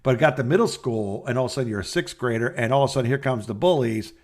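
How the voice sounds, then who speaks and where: A middle-aged man co-hosting a podcast, telling anecdotes in a casual, halting and rambling way. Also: medium pitch, darkish tone. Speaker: podcast co-host. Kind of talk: casual phone chat, storytelling.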